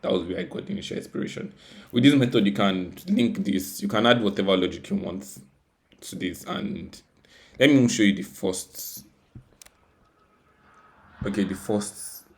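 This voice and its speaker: Casting: man, low-pitched voice, informal tech tutorial host who thinks aloud and repeats himself. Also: animated, moderate pace, gravelly, pauses often. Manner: rambling, self-deprecating, repeats words and phrases. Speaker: tutorial host, software developer. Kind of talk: casual, unscripted coding screencast walkthrough.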